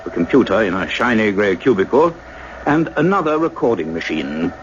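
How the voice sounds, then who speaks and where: Low-voiced, courteous radio play narrator a male actor. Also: lightly acted. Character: courteous visiting scientist and story's narrator, reserved, observant. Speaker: narrator and character. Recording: noisy, dull radio drama recording.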